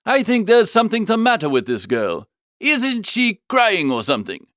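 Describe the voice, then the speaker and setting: A man playing a foppish, slangy young upper-class gentleman, earnest and indignant, in comic storybook dialogue. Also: high-pitched, huge pitch swings, moderate pace, dry recording, clean studio sound, pitched above the performer's own voice, exaggerated voice. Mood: dithering, mild.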